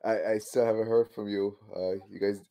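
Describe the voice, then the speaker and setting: Mellow, hesitant man. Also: fairly low pitch, flat delivery, normal speed. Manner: hesitant, uncertain. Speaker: tabletop game player. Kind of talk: casual in-character game talk.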